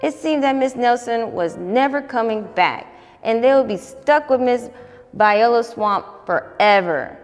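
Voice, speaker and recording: Young woman, storybook read-aloud, lively swooping pitch, roomy reverb, faint music behind. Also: a little slow. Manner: expressive, animated read-aloud voice. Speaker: volunteer storybook reader. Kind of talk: reading a children's picture book aloud.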